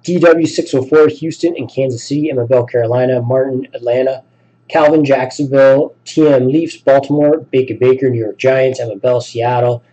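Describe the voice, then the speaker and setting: A man hosting a sports card break, a bit sick with a raspy voice, listing names and teams and chatting casually. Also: mid-range voice, fairly even intonation, moderate pace, mellow, poor audio. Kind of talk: live card break commentary.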